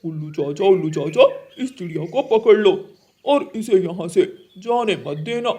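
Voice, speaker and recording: A performer voicing a treacherous, greedy male crow in a children's cartoon, with a husky voice and an exaggerated delivery. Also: mid-range voice, animated, moderate pace, warm tone. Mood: gloating. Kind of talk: Hindi children's cartoon acting.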